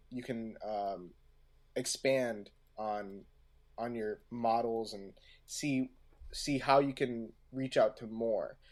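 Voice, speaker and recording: A man in a casual podcast discussion, speaking at a slow pace. He is halting, often doubles back on a phrase and searches for the next word. His pitch sits in the middle of the male range. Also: a little mellow. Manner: halting, searching for words, often repeating himself. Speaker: podcast co-host. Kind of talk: casual discussion of audio drama craft.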